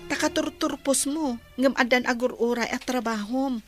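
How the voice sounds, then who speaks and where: Very high-pitched, chatty young Filipina, acted by a woman. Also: normal speed, faint music behind. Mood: cheerful, teasing. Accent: Ilocano Filipino. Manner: chatty, inquisitive. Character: friendly, curious, teasing young nurse. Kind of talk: radio serial drama acting.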